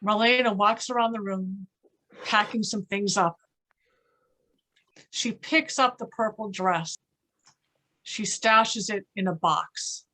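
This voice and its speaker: A woman's voice: medium pitch, slow pace, pauses often, pushed and bright, poor audio. A woman reading stage directions as scripted narration for a readers theater play.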